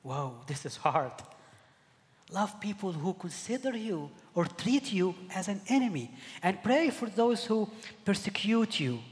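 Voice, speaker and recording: A middle-aged man from Egypt delivers a sermon in a slightly high voice. He speaks earnestly, often doubling back and repeating words as he finds his way. His voice rings out with a long reverb, as in a large church hall. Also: big pitch swings, a little slow. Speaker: pastor.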